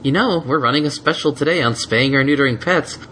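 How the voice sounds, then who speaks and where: A man's voice: medium pitch, fairly quick, sharp tone, a little hiss or hum, pitched above the performer's own voice, close to an everyday voice. A man voicing an officious, flustered female nurse pony with polite checklist patter in a storybook reading. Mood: businesslike.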